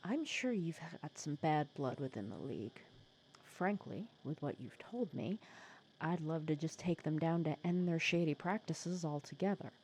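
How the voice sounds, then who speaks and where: A woman playing a sly, secretly caring female supervillain in an intimate ASMR roleplay, casual and teasing, softly breathy. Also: low-pitched, animated, normal speed, a little hiss or hum, rough recording. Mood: persuasive, frank. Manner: casual, teasing, persuasive, sardonic.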